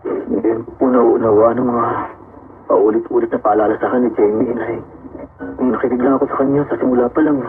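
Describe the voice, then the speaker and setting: An actor playing a greedy young village official in a radio drama, dismissive and swaggering. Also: male voice, mid-range voice, fairly even intonation, fast, soft background music, lightly acted. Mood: pained. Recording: tinny phone-like sound, faint hiss.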